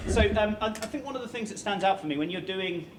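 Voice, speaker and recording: A man presents to a live audience at a conference, wearing a clip-on mic. His voice sits a little on the higher side. He talks loosely, with plenty of ums and restarts. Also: expressive, fast talker, slight background noise. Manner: conversational, many ums and restarts. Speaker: conference speaker. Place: conference stage before an audience. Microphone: lapel mic pack.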